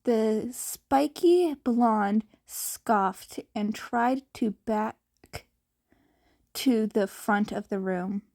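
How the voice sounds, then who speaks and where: A woman's voice: on the high side, very slow, warm tone. A woman narrating fan fiction as an amateur audiobook reader.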